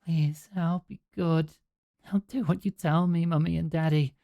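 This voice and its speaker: A man's voice: a little high, fairly even intonation, unhurried, vocal fry, dark tone, voice pushed up. A man voicing a dying young girl who pleads through tears in a read-aloud story. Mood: pleading. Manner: pleading, tearful. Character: dying young girl, frightened then joyful.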